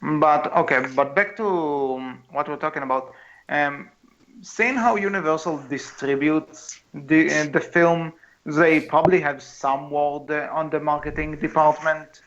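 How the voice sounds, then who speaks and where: A man co-hosting a podcast in casual discussion, speaking in a hesitant, halting way. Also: on the high side, fairly even intonation, a bit bright, slight background noise. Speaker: podcast co-host. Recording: dull, muffled lo-fi mic.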